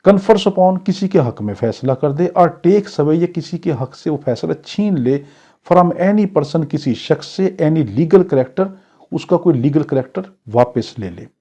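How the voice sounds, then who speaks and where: A man's voice: a little high, expressive, slow, dark tone. A man with a Pakistani accent giving an exam lecture as a law teacher, didactic and repetitive, switching between reading out English and explaining it. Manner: didactic, repetitive, poses questions and answers them. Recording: dull lo-fi sound.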